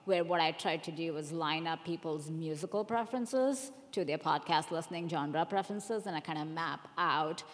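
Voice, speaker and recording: A woman with a clearly low voice speaks as a panelist in a big, reverberant hall. She explains things step by step in a conversational way. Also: moderate pace, hardly any pauses. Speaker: panelist, audience research executive. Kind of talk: casual panel discussion.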